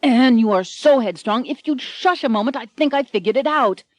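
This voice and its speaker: A woman's voice: fairly high pitch, expressive, normal speed, no room sound, natural voice. A woman voicing an earnest, devoted young male hero in a spoken story.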